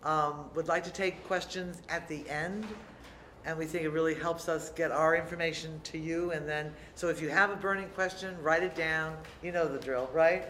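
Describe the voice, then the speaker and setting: A man moderating a university panel in a relaxed, loose way, with many fillers. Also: fairly high pitch, normal speed. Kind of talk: casual introductions at a panel. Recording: echoey room, distant mic, faint noise.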